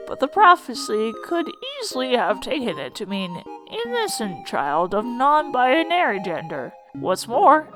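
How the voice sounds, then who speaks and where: Folksy old monster schoolteacher played by a woman, wry and colloquial, highish and very animated, for a fan cartoon dub. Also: poor audio. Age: older. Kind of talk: character voice in fan comic dub. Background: music.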